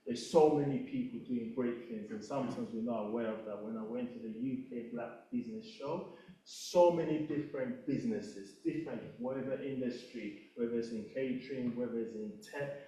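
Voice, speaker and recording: A man's voice: mid-range voice, normal speed, dark tone. A man from Ghana, speaking off the cuff at a community event, earnest and thinking aloud. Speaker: panel speaker. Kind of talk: unscripted reflective talk at an event. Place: event hall. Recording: echoey hall, speaker far from the mic.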